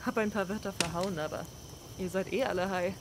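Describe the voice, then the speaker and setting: A woman's voice: medium pitch, steady tone, fairly quick, noisy background, lightly acted. A woman playing a laid-back young drifter singer in a German game fan dub, casual and teasing. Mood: playful.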